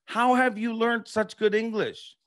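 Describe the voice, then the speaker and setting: A middle-aged man hosts a casual interview from a home studio, his voice pitched high for a man. He asks questions with small stumbles and restarts. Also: steady tone, measured pace, a bit bright. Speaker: podcast host. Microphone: headset and studio mic.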